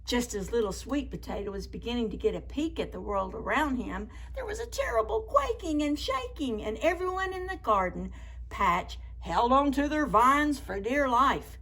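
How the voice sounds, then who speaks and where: A woman hosting children's story time, reading picture books expressively with drawn-out words and chatty asides. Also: a little high, animated, gravelly, a bit bright. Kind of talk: animated picture book read-aloud for preschoolers.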